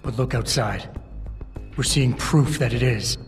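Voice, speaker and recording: A man's voice: mid-range voice, unhurried, vocal fry. An actor playing a hard, distrustful male operative in a video game, blunt, terse and accusing. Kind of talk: dramatic video game acting. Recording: long echoing hall, music behind.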